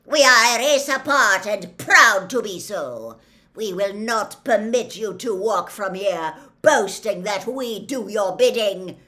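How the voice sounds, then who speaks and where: A woman's voice: mid-range voice, animated, slow pace, few pauses, sharp tone, pitched above the performer's own voice. A woman voicing a fierce, proud, angry male centaur in an audiobook, with bellowing, haughty delivery. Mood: snarling pride. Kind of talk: dramatic audiobook character voice.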